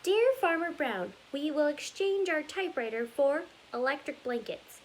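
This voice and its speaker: A woman voicing a herd of cows writing polite but demanding letters, read slowly and primly. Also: high-pitched, lively intonation, slight background noise, pitched above the performer's own voice, rough recording. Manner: slow, prim letter-reading.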